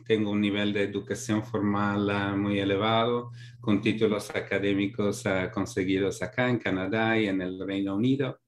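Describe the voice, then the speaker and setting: A middle-aged European man with a fairly low voice speaks as an academic guest. He explains things slowly, pausing on fillers and restarting his phrases as he works toward each point. He speaks in a language that is not his first. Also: flat delivery, a little hiss or hum. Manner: measured, thoughtful, many fillers and restarts. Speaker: academic guest, migration researcher. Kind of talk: interview answers, explanatory.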